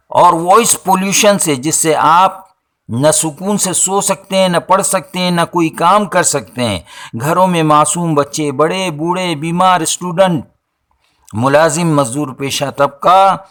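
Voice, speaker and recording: A man delivers a scripted opinion monologue in formal language, with oratorical, deliberate phrasing. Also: a little high, fairly bright tone. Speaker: social and religious commentator.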